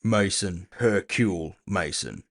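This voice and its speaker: A man's voice: low voice, expressive, slow, vocal fry, fairly bright tone, full character voice. A man voicing a big, cool-headed orc bounty hunter, blunt and dryly cocky, in a fantasy audio drama. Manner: blunt, dry, confident.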